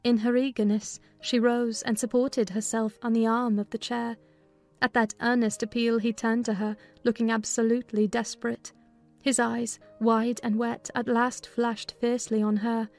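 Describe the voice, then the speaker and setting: A woman reads as the narrator of a literary audiobook. Her voice is fairly high and stays even in pitch, measured in its storytelling, with faint music underneath.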